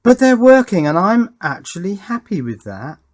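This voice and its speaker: A man's voice: sits high, sing-song, normal speed, a little mellow. A man giving a casual, unscripted hands-on demonstration as an electronics hobbyist, thinking aloud and hesitating as he goes.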